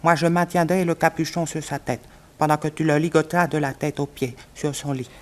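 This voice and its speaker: An actor as a ruthless henchman with a fairly high male voice. He talks in a clipped, businesslike way, as in an old radio thriller. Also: fairly even intonation, fairly quick, close to an everyday voice. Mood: grim, secretive. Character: businesslike, ruthless male accomplice in a night attack. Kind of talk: 1960s French radio play acting.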